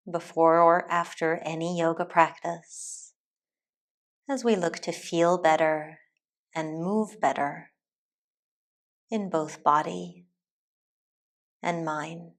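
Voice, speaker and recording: A woman teacher leading a guided meditation in a calm, soothing, deliberate way with long pauses. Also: on the low side. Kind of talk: guided meditation, soft instructional narration.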